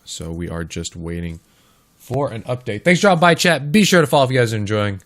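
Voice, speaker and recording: A man hosting a live esports stream, casting matches in casual chat and talking straight to the viewers, his voice a little hoarse. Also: medium pitch, very animated, brisk. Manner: chatty, talks straight to the chat. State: slightly hoarse. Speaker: esports caster and stream host.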